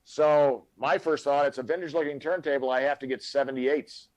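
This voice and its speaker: A man's voice: medium pitch, steady tone, normal speed, a little mellow, poor audio. A man in his sixties co-hosting a comedy chat, casually reminiscing and making jokes. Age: 60s. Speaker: comedy show co-host.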